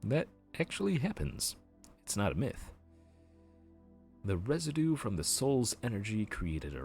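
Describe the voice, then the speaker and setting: A man's voice: deep voice, a little mellow, full character voice. A man voicing a helpful, chatty male grim reaper who works the underworld front desk, explaining things politely in an audio roleplay.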